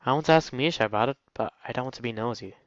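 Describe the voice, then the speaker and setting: A man's voice: mid-range voice, racing, dry recording. A young man reading a visual novel aloud as a let's play host, playfully commenting and stumbling over lines. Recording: dull, lo-fi mic with music. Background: game music.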